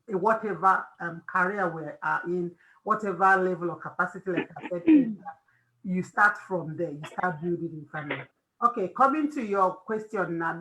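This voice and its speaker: A woman's voice: fairly low pitch, a bit bright, poor audio. A woman with a Nigerian accent hosting an informal faith-based advice talk, emphatic and repeating phrases for stress. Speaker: host of a family discussion.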